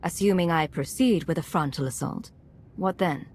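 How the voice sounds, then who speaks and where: Woman playing a low, cool agent commander. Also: moderate pace, a little mellow, a little hiss or hum, natural voice. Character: composed, resolute female secret agent commander.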